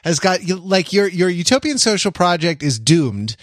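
A man's voice: high voice, sing-song, moderate pace, no echo, clean studio sound. A man hosting a casual podcast discussion in a reflective, wry way, restating phrases as he feels his way through a thought. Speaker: podcast host.

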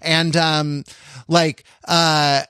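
A man's voice: on the high side, level tone, very slow, pushed and bright, smooth, dry recording, studio quality. An American man in a casual pop culture podcast discussion, talking in a digressive, discursive way and often trailing off mid-thought. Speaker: podcast panelist.

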